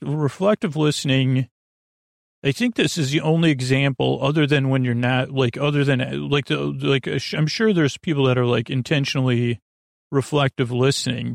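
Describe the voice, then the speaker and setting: A man hosting a bedtime sleep podcast in creaky, lulling tones, rambling haltingly and often interrupting himself with digressions. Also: medium pitch, dry recording, clean studio sound. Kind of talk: rambling, meandering bedtime monologue.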